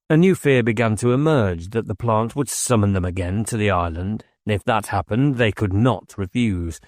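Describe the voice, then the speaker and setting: A man's voice: lowish voice, darkish tone, no echo, clean studio sound. A man reading a novel aloud as scripted audiobook narration.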